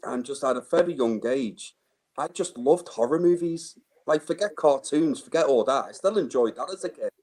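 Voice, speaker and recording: An easygoing man in his forties speaks with a Birkenhead accent, chatting as a guest. He calls everyone mate and talks at an ordinary pitch, heard through a phone on a video call. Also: lively intonation, moderate pace, darkish tone. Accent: Birkenhead, Wirral. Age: 40s. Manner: easygoing, calls people mate, laughs along. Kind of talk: casual chat.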